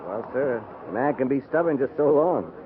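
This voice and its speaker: Cavalry private played by a man, humbled and earnest, answers softly and respectfully. 1950s radio drama, thin phone-like audio. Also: mid-range voice, lively intonation, moderate pace, close to an everyday voice. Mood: contrite, respectful. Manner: subdued, deferential, brief replies. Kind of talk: 1950s radio western acting. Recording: tinny 1950s radio recording.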